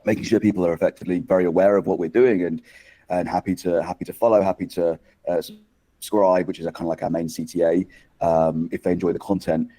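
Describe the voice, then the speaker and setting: Man, deep mellow voice, measured prospect on video call. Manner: measured, repeats words while thinking.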